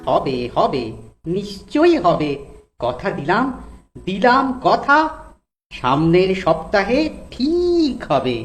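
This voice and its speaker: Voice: mid-range voice, huge pitch swings, slow, pauses often. An actor voicing a wise, stalling village judge in theatrical, singsong cartoon verse. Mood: stalling, reassuring. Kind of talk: rhyming cartoon dialogue. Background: music.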